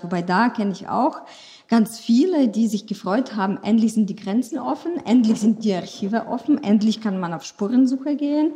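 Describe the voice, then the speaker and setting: A woman's voice: medium pitch, mellow. A woman from western Ukraine, a native Ukrainian speaker with a Ukrainian accent, speaking from personal experience as a panel guest. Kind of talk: panel discussion, personal recollection.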